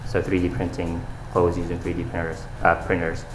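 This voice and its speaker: Young male guest, deep monotone delivery, echoey room with audible noise behind. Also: brisk. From Saudi Arabia. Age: young adult. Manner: earnest, talks in loose fillers and restarts. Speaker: interview guest, fashion designer. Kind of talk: casual interview answers.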